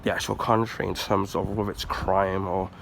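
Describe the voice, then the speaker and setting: A man from the UK, husky and bunged up from a cold, rambling through a casual rant. His voice sits a bit low, he clears his throat often, and faint street traffic hums behind him. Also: flat delivery, moderate pace, a bit bright. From United Kingdom. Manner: rambling, many ums and restarts, frequent throat clearing. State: husky, recovering from flu, blocked nose. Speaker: YouTuber.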